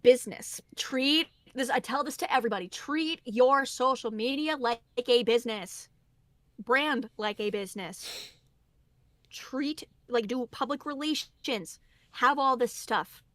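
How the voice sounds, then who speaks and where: A woman with a fairly high voice talks through creator advice in an earnest, candid way. She laughs easily. The recording is dry and close. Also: unhurried, dry recording. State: losing her voice. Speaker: guest content creator. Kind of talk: casual podcast conversation.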